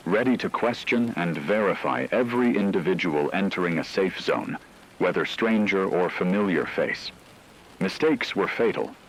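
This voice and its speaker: Deep-voiced man narrating gravely over noise. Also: fairly bright tone, poor audio. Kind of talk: scripted dramatic narration.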